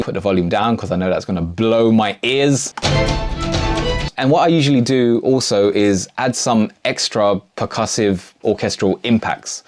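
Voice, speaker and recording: Male tutorial host, lower register, casual and slangy, keen music producer talking viewers through his process. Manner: enthusiastic, slangy. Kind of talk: casual video tutorial narration.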